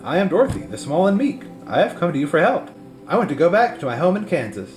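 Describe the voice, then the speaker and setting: Man playing little storybook girl, earnest, polite, lifted pitch, music underneath. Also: sing-song, fast, a little mellow. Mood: meek, earnest. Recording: music behind the voice.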